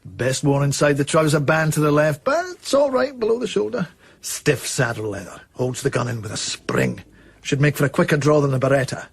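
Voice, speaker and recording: A blunt military armourer in a radio drama. His voice is fairly high and husky, and he speaks in terse, deferential, matter-of-fact bursts. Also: very animated, brisk, full character voice.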